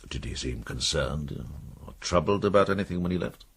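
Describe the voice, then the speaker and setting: A man playing a calm, shrewd middle-aged monk healer in a period radio drama, speaking with measured, thoughtful authority. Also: extremely low pitch, breathy edge, heavily acted. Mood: probing.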